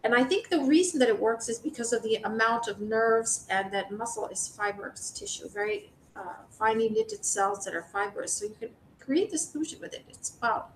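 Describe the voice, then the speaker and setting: A woman's voice: expressive, moderate pace. A woman giving an informal, rambling spiritual monologue to the public, speaking emphatically and indignantly with some swearing. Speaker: video creator speaking to the public. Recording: roomy echo, noisy low rumble.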